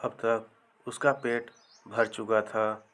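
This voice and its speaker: A man narrating a scripted comic folktale as a storyteller, in measured short phrases. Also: fairly low pitch, steady tone, fairly bright tone.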